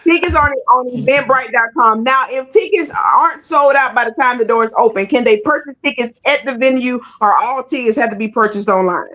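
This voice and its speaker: A woman's voice: fairly high pitch, a little fast, few pauses, fairly bright tone. A woman hosting a casual livestream interview, talking with folksy, sassy banter. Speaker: show host interviewing guests.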